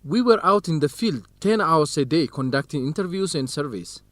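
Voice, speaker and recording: An actor playing an easygoing, good-humoured young field researcher, speaking casually in an educational radio drama. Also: male voice, a little high, expressive, moderate pace, fairly bright tone, no echo. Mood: weary, friendly.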